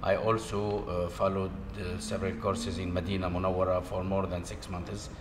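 A low-voiced, middle-aged man works through his answers in hesitant, non-native English, filling the gaps with "uh." He sounds as if he is in a large, echoing hall with long reverb. A steady wash of background noise sits under his speech. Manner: hesitant, halting, with frequent "uh" fillers. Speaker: interview guest. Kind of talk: conversational interview answers.

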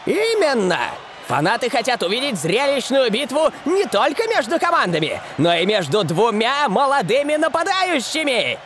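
Excitable, high-pitched football commentator. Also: male voice, moderate pace, a little hiss or hum, exaggerated voice. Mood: excited. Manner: animated, dramatic. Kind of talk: cartoon sports commentary.

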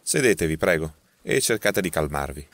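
A man doing a phlegmatic, deep-voiced detective. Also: expressive, fairly bright tone, no room sound. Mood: calm. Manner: unhurried, reassuring, dry. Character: calm, phlegmatic male detective.

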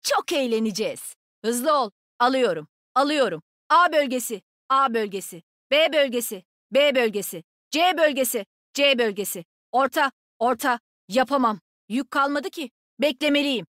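A woman's voice: high-pitched, animated, moderate pace, fairly bright tone, no room sound, clean studio sound. A woman playing a cocky, nimble young female agent in a shooter game, with a sassy, brash, taunting manner. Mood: brisk, alert. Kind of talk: video game combat voicelines.

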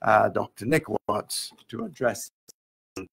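Slow gravelly older man introducing a speaker. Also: lowish voice, animated, a bit bright. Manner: measured, hesitant with fillers. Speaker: event chair. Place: hotel conference room. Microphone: podium microphone.

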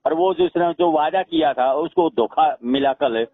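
Phone-line recording, middle-aged man, Haryanvi accent, fairly high voice, insistent, repetitive. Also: measured pace, no room sound. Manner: insistent, repeats himself, demands to be heard. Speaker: father-in-law in a family dispute. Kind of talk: heated phone conversation. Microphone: telephone. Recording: tinny, narrow phone-call recording.

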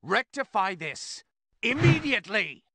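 Slow, barking, pompous military officer. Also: male voice, high-pitched, big pitch swings, bright, forceful tone, no room sound, exaggerated voice. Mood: stern, commanding. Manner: barking, clipped, deliberate drill-sergeant delivery. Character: stern, pompous military officer, contemptuous of underlings. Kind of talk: animated cartoon game voice acting.

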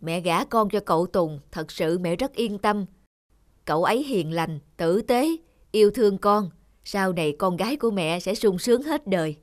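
An actress playing a kindly middle-aged mother, gently coaxing and reassuring, in a cartoon. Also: mid-range voice, expressive, normal speed, dry recording, clean studio sound, soft background music, natural voice. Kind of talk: scripted cartoon dialogue.

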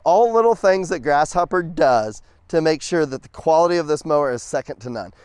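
A man's voice: expressive, brisk, darkish tone, clean tone, no room sound. Upbeat salesman on an outdoor lot. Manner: upbeat, chatty sales pitch with fillers. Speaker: farm equipment salesman. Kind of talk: casual product walkthrough.

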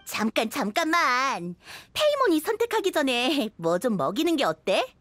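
A woman's voice: high voice, very animated, moderate pace, a bit bright, no echo. A woman voicing a cheerful, caring young girl in a video game, friendly and eager in manner. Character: cheerful, caring young girl who feeds her companions. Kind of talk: animated video game voice acting.

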